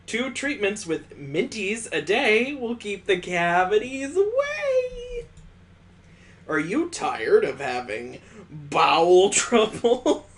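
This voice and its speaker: Guy doing a cheesy tv ad announcer, higher voice, stretches words out, way overdone. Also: sing-song, slow, exaggerated voice. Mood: overblown. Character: hammy television commercial announcer with a sales pitch.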